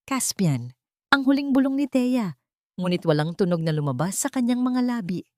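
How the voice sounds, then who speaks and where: Lower-pitched woman narrating a drama. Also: a little mellow, no room sound, clean studio sound. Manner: somber, emotive storytelling.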